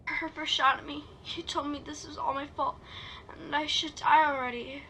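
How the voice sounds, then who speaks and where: A woman's voice: sits high, steady tone, normal speed, bright, forceful tone. A woman playing a wounded, guilt-ridden teenage girl in an amateur script read, with emotional, plaintive delivery. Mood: weak, resigned. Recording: noisy home microphone recording.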